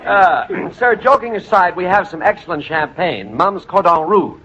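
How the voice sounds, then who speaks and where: An actor playing a smug, wisecracking restaurant waiter with a mocking, wry manner, in 1940s radio comedy style. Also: male voice, sits high, lively intonation, normal speed. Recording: dull old radio broadcast with studio audience and noise.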